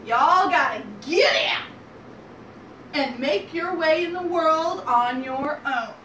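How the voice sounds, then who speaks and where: A woman's voice: sits high, expressive, slow, sharp tone, heavily acted. A woman voicing an exasperated mother pig in a storybook tale, in blunt, emphatic phrases. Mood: fed up. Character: fed-up, exasperated mother pig laying down the law. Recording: roomy, distant mic, noisy background.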